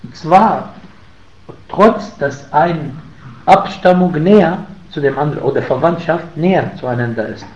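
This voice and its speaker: A man giving a religious lesson in German, emphatic on key points and pausing with fillers. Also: fairly high pitch, darkish tone. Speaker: Islamic teacher. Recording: roomy, muffled, faint ambience.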